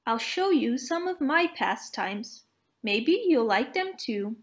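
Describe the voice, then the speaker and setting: A woman voicing a kind, upbeat young heroine in a children's storybook, in a gentle, encouraging manner. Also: a little high, very animated, takes it slowly. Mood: friendly.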